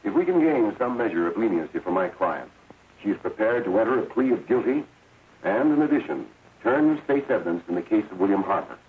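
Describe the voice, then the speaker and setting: A man playing a formal, deliberate defense attorney in 1930s film style. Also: on the low side, animated, lightly acted. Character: formal male defense attorney pleading for client. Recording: tinny, hissy 1930s film soundtrack.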